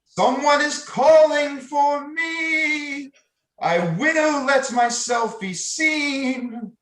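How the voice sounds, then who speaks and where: Eerie, very slow high-voiced ghost. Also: male voice, fairly bright tone, exaggerated voice. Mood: eerie, searching. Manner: drawn-out, halting delivery. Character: ghost of John summoned at a seance, slow and eerie. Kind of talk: old-time radio drama acting. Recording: echoey, roomy sound.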